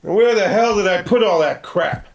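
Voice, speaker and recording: A man's voice: high-pitched, moderate pace. A man playing a grumbling, hesitant thirty-year-old suburban husband in a table read, casual and wry.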